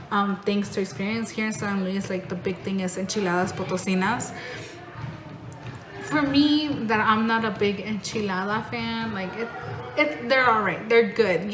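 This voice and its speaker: A young woman with an American accent, vlogging in a casual, rambling way with lots of fillers. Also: medium pitch. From born in Mexico, raised in North Carolina. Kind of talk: casual talking-head vlog. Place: home in Mexico. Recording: echoey room with noticeable background noise.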